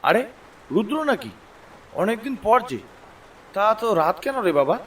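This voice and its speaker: Man playing higher-pitched, foreboding village tea seller. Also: sing-song, unhurried, a bit bright, recorded from a distance, slight background noise, exaggerated voice. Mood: worried, cautioning. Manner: cautioning, fatherly, mysterious. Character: older village tea-stall keeper, uneasy storyteller. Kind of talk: horror cartoon voice acting.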